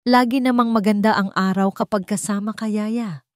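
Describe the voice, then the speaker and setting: A woman voicing a young female company chairperson who speaks softly and politely. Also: medium pitch, steady tone, moderate pace, dry recording, clean studio sound, pitched above the performer's own voice, lightly acted. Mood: tender.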